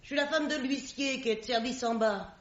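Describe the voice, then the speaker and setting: Casting: man doing high, soft cleaning-lady voice, servile radio play maid, muffled sound. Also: a little fast. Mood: obliging. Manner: polite, servile. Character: deferential female office cleaner, middle-aged. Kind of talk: radio drama acting. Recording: dull muffled audio recording.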